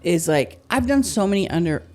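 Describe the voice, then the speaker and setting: A woman hosting a podcast, chatting candidly and with animation, her loudness swinging up and down. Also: on the low side, brisk. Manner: candid, animated, swings between loud and soft. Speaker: podcast host. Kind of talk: casual chat.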